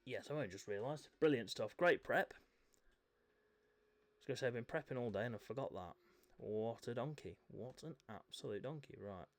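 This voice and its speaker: A man doing live commentary on a motorcycle esports race, a little croaky from a chest infection, chatty with self-mocking asides. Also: mid-range voice, expressive, moderate pace. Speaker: race commentator and event host.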